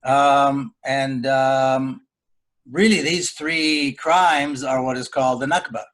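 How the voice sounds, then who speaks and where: A middle-aged man from Israel speaking on a video call in a round-table webinar, in a deliberate and earnest way. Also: medium pitch, steady tone, fairly bright tone. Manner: deliberate, earnest, measured delivery. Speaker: panel speaker.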